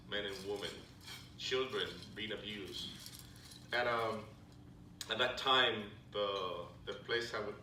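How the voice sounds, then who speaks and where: A middle-aged man with a Puerto Rican accent giving a friendly, halting talk to a club, with many fillers and restarts. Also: fairly low pitch, bright, forceful tone, far from the mic. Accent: Puerto Rican Spanish. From Puerto Rico. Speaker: Salvation Army officer speaking to a club. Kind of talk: informal presentation to a luncheon audience. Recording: echoey hall recorded from afar, background noise.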